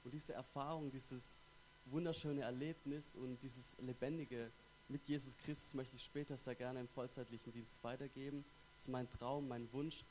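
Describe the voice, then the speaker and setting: A man's voice: mid-range voice, steady tone, normal speed, a little mellow, a little hiss or hum, low-quality recording. Young Swabian man preaching to a congregation. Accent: light Swabian. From Stuttgart, Germany. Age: young adult. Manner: friendly, chatty, frequent "genau" and "ähm" fillers. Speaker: theology student preaching. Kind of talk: church sermon with personal introduction.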